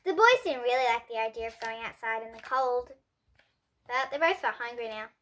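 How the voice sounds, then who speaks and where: Little girl reading a kids storybook, high bright voice, really expressive. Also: moderate pace. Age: child. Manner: expressive, with emphatic character dialogue. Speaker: young presenter reading a children's book. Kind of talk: read-aloud bedtime story.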